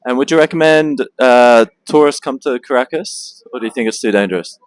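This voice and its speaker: A man's voice: mid-range voice, fairly quick, no echo. A man conducting street interviews, asking short questions with some hesitant fillers. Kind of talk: street vox-pop interview.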